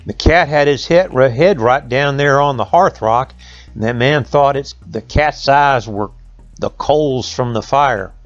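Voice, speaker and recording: A man's voice: medium pitch, unhurried, lo-fi sound, soft background music. A man telling a folk tale in a folksy oral style, with rustic phrasing and conversational asides. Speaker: storyteller.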